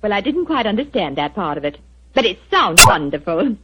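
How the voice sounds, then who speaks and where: A dull vintage radio recording of a young wife. She is sweet but persuasive, with a husky edge and a very lively, swooping delivery in period radio drama style. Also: mid-range voice, brisk. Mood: enthusiastic. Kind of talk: 1940s radio drama acting.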